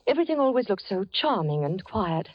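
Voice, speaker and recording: An actress playing a polite, curious young woman in a 1940s radio drama, gracious and gently probing. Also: medium pitch, very animated, brisk, no echo. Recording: dry, dull 1940s radio recording with faint music.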